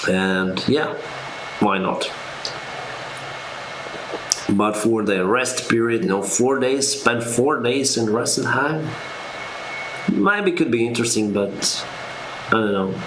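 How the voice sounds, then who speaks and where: Slow-talking man with a Russian accent. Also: medium pitch, echoey room, background noise. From Ukraine. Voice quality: slow, halting speech with fillers. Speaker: airline pilot and streamer. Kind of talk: live-stream Q&A, reading viewer questions.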